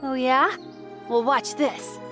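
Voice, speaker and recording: A woman's voice: sits high, steady tone, slow. A woman playing a boastful, competitive little girl in an anime audio drama. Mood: cocky. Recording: music under the voice.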